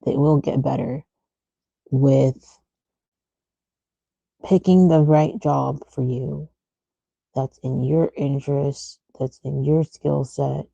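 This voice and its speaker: Woman, casual rambling stream chat, gravelly fry, mellow tone, muffled lo-fi mic. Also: extremely low pitch, steady tone, slow, long pauses, dry recording. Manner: informal, rambling. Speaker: streamer, host.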